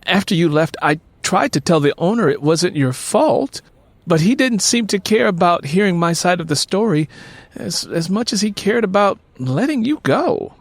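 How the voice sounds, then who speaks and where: A man's voice: high voice, animated, normal speed, dry recording, voice pushed up. A man voicing a guarded, exasperated woman in an audiobook story, blunt and reluctant in manner. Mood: apologetic. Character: exasperated, guarded young woman with broken-down car.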